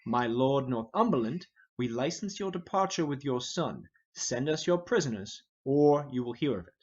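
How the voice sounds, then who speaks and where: A man's voice: medium pitch, lively intonation, normal speed, darkish tone, dull audio, lightly acted. A man reading the part of a stern, suspicious king in Shakespearean verse, commanding, accusatory and declamatory. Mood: angry, threatening.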